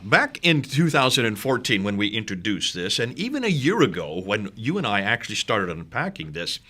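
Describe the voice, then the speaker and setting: A man appearing as a guest in a studio discussion, speaking in an energetic, emphatic way. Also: on the low side.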